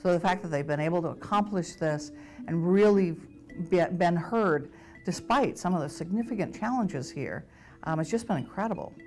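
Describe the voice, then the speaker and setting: A woman's voice: fairly low pitch, moderate pace, darkish tone, soft background music. A woman speaking as a hospital staff member in a documentary interview, explaining articulately.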